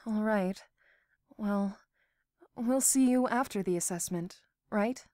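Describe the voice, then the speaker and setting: A woman's voice: mid-range voice, dark tone, dry recording, clean studio sound, close to an everyday voice. A woman playing a concerned, protective young recruit, speaking hesitantly in a fan audio drama. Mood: worried. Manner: hesitant, measured, worried.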